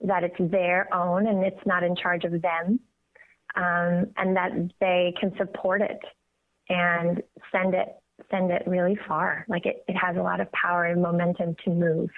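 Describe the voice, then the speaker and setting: A woman's voice: fairly low pitch, little pitch movement, measured pace. A woman speaking earnestly as an interview guest, explaining her work as a voice coach over a phone. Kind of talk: conversational radio interview. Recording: tinny, narrow phone line.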